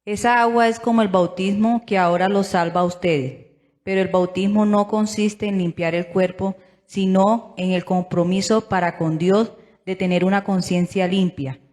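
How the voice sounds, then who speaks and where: A man reading a Bible passage aloud in a steady, even way. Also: sits high, normal speed. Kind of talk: scripture reading.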